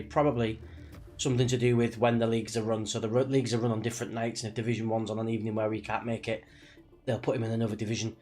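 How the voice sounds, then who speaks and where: British guy streamer, bit sniffly from a cold, laid-back rambly chat, music on behind him. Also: medium pitch. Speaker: live streamer, sim racing driver. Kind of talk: casual livestream chat before a race.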